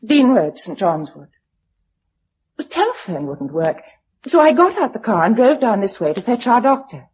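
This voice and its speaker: A woman's voice: fairly high pitch, sing-song, moderate pace, a little mellow, slightly rough. An actress playing a shaken, well-bred young Englishwoman in a radio drama, with an English accent and a genteel, hesitant, emotional manner. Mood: anxious recounting. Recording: dull lo-fi radio drama recording.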